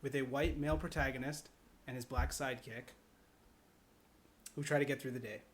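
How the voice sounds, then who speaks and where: A man speaking as a podcast guest, pitching ideas in casual conversation. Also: steady tone, normal speed.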